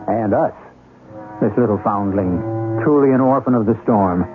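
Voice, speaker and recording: A man narrating as host of a 1970s radio mystery show in a deliberate, dramatic, rhetorical manner. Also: on the low side. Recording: tinny old radio broadcast with loud music. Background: loud music.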